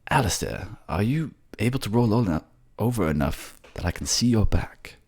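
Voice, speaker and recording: A man's voice: on the low side, animated, normal speed, darkish tone, slightly rough, voice pushed up. A man voicing an empathetic, teary merman advisor who is tender, earnest and fussy, in a fantasy audio drama. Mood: concerned.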